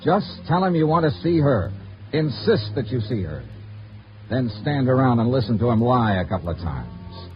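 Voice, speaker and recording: A man's voice: mid-range voice, very animated, moderate pace, lightly acted. Guy playing hard-boiled radio detective, clipped and matter of fact, old muffled broadcast w music. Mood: dry narration. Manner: terse, insistent, matter-of-fact. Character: hard-boiled insurance investigator, shrewd, dogged man. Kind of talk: 1950s radio noir acting and narration.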